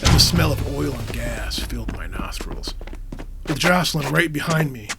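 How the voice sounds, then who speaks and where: A man's voice: mid-range voice, expressive, normal speed, vocal fry, over loud music. An actor playing a wry, hard-boiled guardian, telling his story in dry, noirish first-person dramatic narration. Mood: tense, wry. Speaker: narrator and lead.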